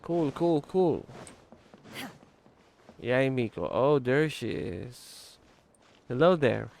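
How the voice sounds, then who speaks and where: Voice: medium pitch, lively intonation, very slow, gravelly, a little mellow. A streamer giving casual, brief reaction commentary while playing a video game. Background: game music.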